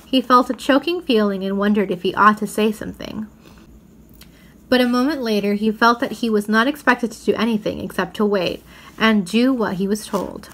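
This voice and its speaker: Woman storyteller, medium pitch, expressive scripted narration, children's storybook. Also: normal speed.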